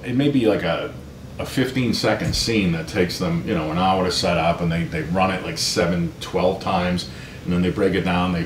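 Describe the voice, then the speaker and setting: A middle-aged American man chatting as an interview guest, telling anecdotes in a self-deprecating, conversational way full of check-in tags. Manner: self-deprecating, chatty, peppers talk with 'right?' and 'you know'. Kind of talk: casual anecdotal storytelling in an interview. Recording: roomy echo, low rumbling background noise.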